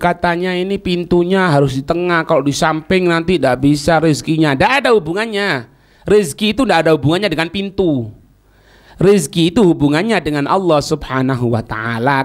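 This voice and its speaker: High-pitched male Javanese-accented Islamic preacher. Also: a bit bright. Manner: didactic, often checking listeners understand; colloquial, acting out short example quotes. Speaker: Islamic preacher teaching religious law. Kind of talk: informal religious lecture.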